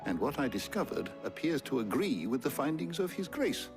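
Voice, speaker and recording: A man's voice: medium pitch, sing-song, moderate pace, over music, rough recording. A man playing a learned, courteous old lore-keeper in a video game, with a formal, musing storyteller's manner. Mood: scholarly, intrigued.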